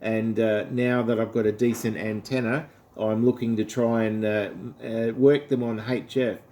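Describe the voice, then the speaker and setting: A man's voice: lowish voice, little pitch movement, normal speed, mellow, slight background noise. An Australian man talking casually and politely as an amateur radio operator. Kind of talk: casual ham radio chat.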